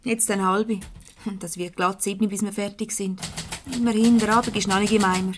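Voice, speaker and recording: Brisk, exasperated Swiss German secretary played by an actress. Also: female voice, medium pitch, steady tone, noisy background, close to an everyday voice. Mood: brisk, resolute. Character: brisk, conscientious female office secretary, easily exasperated. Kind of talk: 1970s Swiss radio comedy acting.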